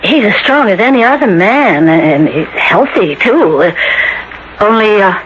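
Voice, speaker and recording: An actress in a 1970s radio drama playing a nervous, apologetic wife, wary and worried, speaking haltingly. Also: female voice, mid-range voice, animated, natural voice. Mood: nervous, explaining. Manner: halting, hesitant. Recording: noisy, dull old radio broadcast recording.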